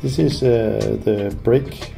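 Methodical male voice-over with a gravelly edge, steady mid pitch, music running underneath. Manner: calm, methodical, frequent "uh" pauses. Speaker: classic car owner explaining repairs. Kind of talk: narrated garage repair vlog.

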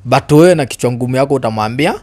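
A man's voice: medium pitch, quick pace, a little mellow. A Kenyan man in his twenties hosting a casual storytelling chat, mixing Swahili and English. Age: 20s. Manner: code-switching between Swahili and English. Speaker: host. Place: indoor living room on a sofa. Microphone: shared handheld microphone.

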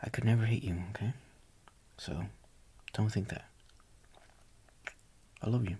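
A young man playing a tender, doting boyfriend in a soft ASMR roleplay, gentle, coaxing and reassuring, with a breathy voice often near a whisper. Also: lowish voice, unhurried, slight background noise. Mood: tender, soothing.

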